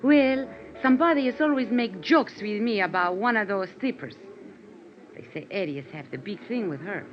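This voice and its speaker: Actress as a lilting, coy Latin bar hostess. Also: female voice, medium pitch, normal speed, a little hiss or hum, close to an everyday voice. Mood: teasing. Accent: Latin American Spanish. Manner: broken English, lilting and expressive. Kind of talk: 1950s radio drama acting. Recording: dull vintage radio recording with music.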